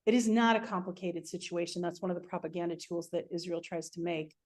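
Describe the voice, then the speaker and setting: A woman hosting an interview, speaking emotionally and haltingly, with frequent restarts. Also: on the low side, darkish tone. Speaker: show host.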